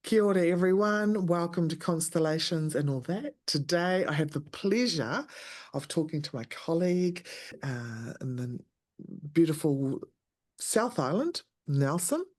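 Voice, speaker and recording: A woman's voice: low-pitched, expressive, a little slow, a little mellow. A woman, hosting a podcast in a casual, chatty interview style. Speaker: podcast host.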